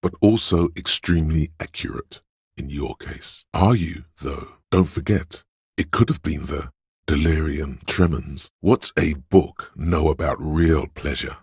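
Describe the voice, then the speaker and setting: A man voicing a seedy, hedonistic inner voice of addiction in a video game, gravelly and husky, coaxing in a sly, seductive way. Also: extremely low pitch, measured pace, a little mellow, dry recording, clean studio sound, heavily acted. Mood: sly, teasing. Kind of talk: video game character voice lines.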